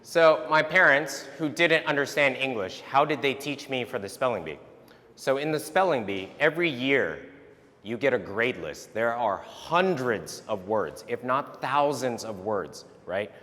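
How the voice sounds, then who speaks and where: A man whose first language was Vietnamese, giving a lively motivational talk to parents. He keeps checking in with the audience with a quick "right?". His voice sits at an ordinary pitch for a man and carries a long reverb from a large hall. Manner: animated, tags statements with "right?". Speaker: speaker giving a talk on resilience.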